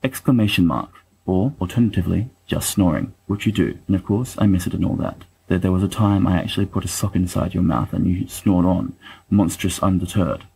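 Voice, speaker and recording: A man playing a wry, bookish young letter-writer, pedantic and affectionate, in a dramatic reading with a husky voice. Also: sits low, a little fast, darkish tone, slight background noise, rough recording. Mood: fond, exasperated. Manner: pedantic, dryly self-deprecating, wordy.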